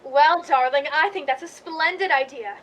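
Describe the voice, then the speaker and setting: Very high-voiced gushing movie diva. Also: female voice, normal speed, a bit bright. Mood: airily bossy. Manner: theatrical, gushing, affected. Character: spoiled, gushing Hollywood movie queen, grand diva. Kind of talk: radio drama acting.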